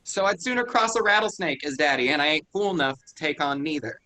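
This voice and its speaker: A man's voice: on the high side, expressive, fast talker, bright, forceful tone, poor audio. An actor reading a stubborn rural young man, curt and defensive in a staged play reading.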